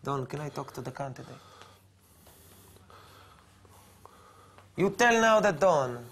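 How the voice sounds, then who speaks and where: Man acting a hot-headed, high-voiced mob henchman. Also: animated, fairly quick, a bit bright. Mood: exasperated. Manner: barking, impatient, theatrical. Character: bossy, hot-headed male mafia henchman, comically exasperated. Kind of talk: comic mafia film acting.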